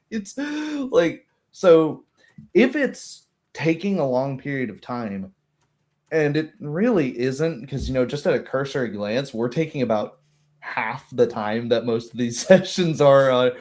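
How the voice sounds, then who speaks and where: A man with a mid-pitched voice talks casually as a tabletop game master. He rambles through his thoughts and slips into quick acted-out quotes to make his points. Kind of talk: casual chat about a tabletop game session.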